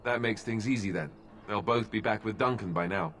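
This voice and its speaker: A wry young ex-templar warrior. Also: male voice, fairly low pitch, lively intonation, fairly quick, gravelly, a bit bright, slight background noise. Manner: dry, wry humor. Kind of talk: video game voice acting.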